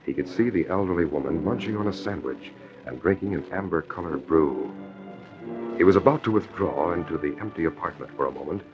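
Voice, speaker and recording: An actor voicing the dramatic narrator of an old-time radio crime serial. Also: male voice, low voice, lively intonation, fairly quick, music in the background. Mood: measured, suspenseful. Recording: dull lo-fi old radio recording.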